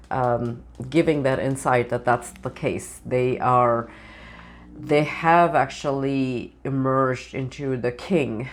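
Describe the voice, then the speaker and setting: A tarot reader recovering from the flu, voice changed by it, giving a channeled reading to camera and rambling with many fillers. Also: medium pitch. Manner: meandering, with many "you know" and "um" fillers.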